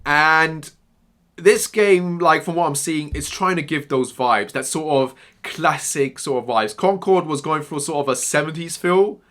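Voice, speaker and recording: Young male commentator with a bright, tense voice and a rambling, emphatic delivery. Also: on the high side, unhurried. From Germany-born Iranian, raised in England. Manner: rambling, repeats words, emphatic. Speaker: gaming commentator. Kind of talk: opinionated casual commentary.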